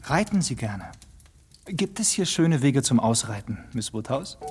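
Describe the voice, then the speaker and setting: An actor playing Frank, a charming, easygoing young gentleman, sociable and lightly flattering, in a radio play. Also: medium pitch, a little slow. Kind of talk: German radio play acting.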